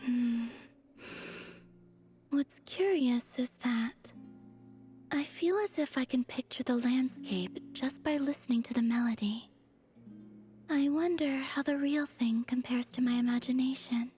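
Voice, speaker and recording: A woman voicing a serene, polite young moon maiden in a video game, with a soft, airy voice and a gentle, measured, formal way of speaking. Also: high-pitched. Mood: musing. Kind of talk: scripted video game voice acting. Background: faint music.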